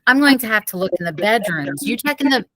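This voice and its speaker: A woman voicing a busy, dismissive mother in a children's storybook, brisk and mildly scolding. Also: mid-range voice, very animated, pushed and bright, a little hiss or hum. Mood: concerned. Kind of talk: children's storybook read-aloud.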